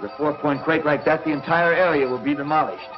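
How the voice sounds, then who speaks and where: Actor as earnest 1950s space hero, muffled sound. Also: male voice, on the high side, quick pace, music in the background, natural voice. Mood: grave. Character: steady, decisive young male space hero. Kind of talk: 1950s TV serial acting. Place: studio control-room set.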